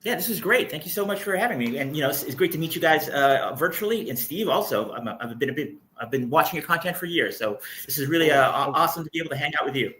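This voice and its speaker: A man with a bright, forceful voice talks as a guest on a casual online panel. He is emphatic and repeats phrases as he makes his point. There is faint noise behind him on the video call. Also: a little high, expressive, fast, few pauses. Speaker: guest commentator on a live show. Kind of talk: casual opinionated panel chat.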